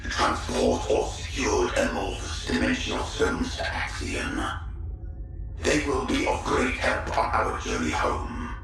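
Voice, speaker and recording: An actor playing a menacing alien villain commander in a video game, grandiose and contemptuous. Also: male voice, deep voice, huge pitch swings, slow pace, gravelly, exaggerated voice. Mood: commanding, triumphant. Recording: echoing, distant, loud music behind.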